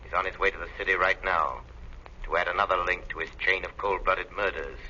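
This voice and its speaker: An actor playing a menacing, taunting crime-fighting avenger in a 1930s radio drama. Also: male voice, sits low, pushed and bright. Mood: stern accusation. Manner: commanding, taunting, deliberate. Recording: muffled, hissy 1930s radio recording.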